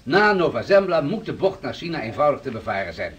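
An actor playing a touchy, pompous wealthy merchant in a radio drama. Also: male voice, mid-range voice, sing-song, brisk, a bit bright, far from the mic, highs cut off. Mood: pondering.

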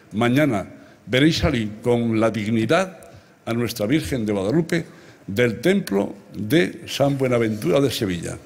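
A man in his seventies from Extremadura with an accent from Spain and a husky voice, giving an emphatic, rhetorical ceremonial speech at a lectern. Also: mid-range voice, a little mellow. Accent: Spanish from Spain. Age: 70s. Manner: emphatic, rhetorical, addressing listeners directly. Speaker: award recipient giving a speech. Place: large open-air ancient theatre stage at night, before a big audience. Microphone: lectern microphones. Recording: amplified outdoor speech with crowd sounds.

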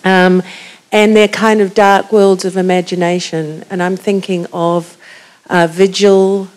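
A woman's voice: fairly low pitch, level tone, a little slow, a little mellow. A woman with a New Zealand accent, making a long musing comment from the audience with many ums. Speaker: audience member.

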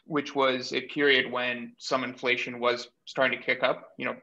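A man in his thirties from Bulgaria, explaining his background in a measured way with many pauses, speaking as a guest over a video call from a small room. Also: mid-range voice, flat delivery, vocal fry, sharp tone. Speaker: guest, startup cofounder. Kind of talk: remote interview. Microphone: webcam or laptop mic on video call. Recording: dull lo-fi video call audio.

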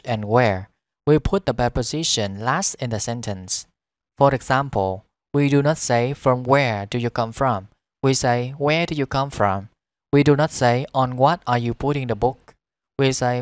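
A man teaching an English lesson. He repeats each phrase carefully and then rattles off his explanation. His voice sits at a middle pitch, and the recording is close and dry. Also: dry recording.

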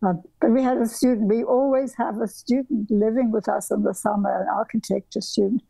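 An older woman from southern Africa reminisces over a video call with a mellow, slightly gravelly voice. She wanders through her stories with many ums and restarts, and the sound is dry and close. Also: fairly high pitch, steady tone, a little fast, no room sound, poor audio. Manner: meandering, with frequent restarts and ums. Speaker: guest architect. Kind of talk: reflective conversational storytelling. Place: home bedroom workroom, indoors.

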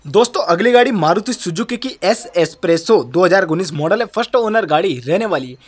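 A man from India speeds through a used car yard with a phone camera, his voice riding high. He rattles off repetitive salesman patter. The sound is an outdoor lot under a shed. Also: expressive, a little fast. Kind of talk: off-camera walkaround commentary.

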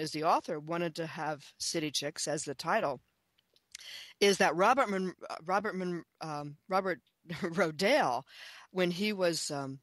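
A woman's voice: on the low side, dry recording. A woman speaking as an expert guest in an interview, talking with enthusiasm and emphasis, repeating phrases and posing questions. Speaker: guest, author and chicken expert.

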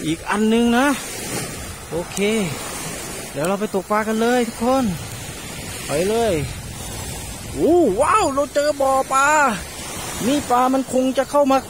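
A man hosting a casual vlog on a beach, giving animated, exclamatory play-along commentary. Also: high-pitched. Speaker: vlog host. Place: outdoors on a sandy seashore. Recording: noisy outdoor recording.

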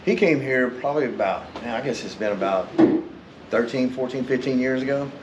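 A man in his fifties with a drawl talks in an easygoing, rambling way, often chuckling. His voice bounces around a big echoey garage. A steady hum of noise sits behind him. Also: medium pitch, fairly quick. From Tennessee, US. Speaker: custom interior shop owner. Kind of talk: casual shop tour explanation. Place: large indoor garage workshop. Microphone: host's handheld camera.

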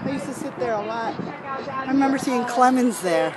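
A woman from Boston chatting excitedly and emotionally while touring an outdoor stadium, recorded on a handheld camera. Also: high-pitched, moderate pace. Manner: effusive, emotional. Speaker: vlog co-host and tourist. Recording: loud outdoor city noise.

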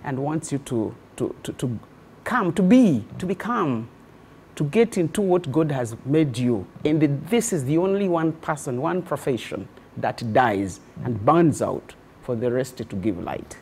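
A woman's voice: low-pitched, animated, measured pace, a little mellow, a little hiss or hum. A woman, a teacher education registrar, speaking as a guest on a TV talk show with impassioned, preacherly phrasing. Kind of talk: TV studio interview. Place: TV studio set.

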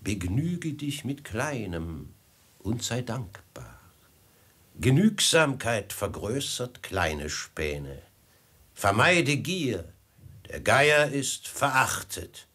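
Radio-play villain: husky, falsely courteous bandit host, theatrical and unctuous, with lively melodic delivery. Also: male voice, mid-range voice, very slow, heavily acted. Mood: sententious, measured. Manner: unctuous, flowery, mock-friendly, theatrical. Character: smooth, menacing desert robber chief, falsely courteous host. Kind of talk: 1950s German radio drama acting.

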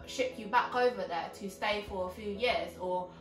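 A woman's voice: mid-range voice, normal speed. Young woman from vietnam chatting casually, lots of ums, echoey room, mic kinda far. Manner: conversational, many fillers like "um" and "like". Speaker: co-host. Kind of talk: casual podcast chat. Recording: roomy sound, mic at a distance, faint music.

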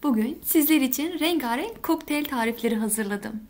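High-voiced woman explaining a recipe. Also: lively intonation. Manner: friendly, addresses viewers as friends; slow, careful instructions. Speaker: home cooking channel host. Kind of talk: step-by-step recipe voice-over. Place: home kitchen counter.

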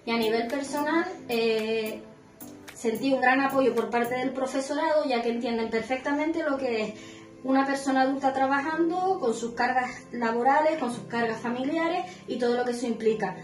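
A woman's voice: sits high, moderate pace, distant mic. Earnest woman, echoey room. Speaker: former student. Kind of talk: prepared testimonial. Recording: echoey room, music behind.

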